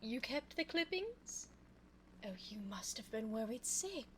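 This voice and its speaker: A woman playing a sly, evil, seductive immortal vampire in a close-miked ASMR audio roleplay, theatrical and coy, slipping into breathy asides. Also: on the high side, big pitch swings, measured pace. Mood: feigned innocence. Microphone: close binaural 3Dio microphone. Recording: faint background noise, close binaural mic.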